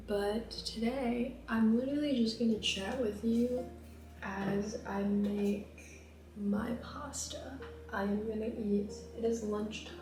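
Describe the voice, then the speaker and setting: A woman's voice: fairly high pitch, steady tone, slow, a little mellow, soft background music. A young woman vlogging casually while she cooks, chatty and rambling, with plenty of fillers. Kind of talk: casual chat while cooking. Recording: roomy kitchen, mic at a distance.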